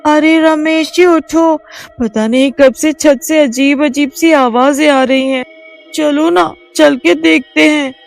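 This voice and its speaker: A jumpy young village woman in a spooky cartoon, voiced by a woman, high and shrill and quick to wail. Her voice swoops across a wide range, and a faint music bed sits behind her. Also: big pitch swings, darkish tone, exaggerated voice. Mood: frightened. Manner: shrill and wailing, easily frightened. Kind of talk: cartoon voice acting.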